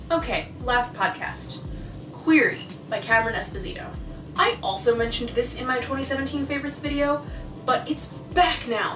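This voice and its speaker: An American woman hosting a casual, scripted recommendation vlog in a conversational, enthusiastic way. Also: a little high. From United States. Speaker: video host. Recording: roomy, distant mic, music behind.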